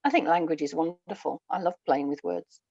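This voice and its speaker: A woman's voice: sits low, a little fast, vocal fry, darkish tone, breathy edge, dry recording, muffled recording. A woman from England with an English accent, speaking reflectively and articulately as a guest in a casual interview. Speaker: guest, writer and audio dramatist.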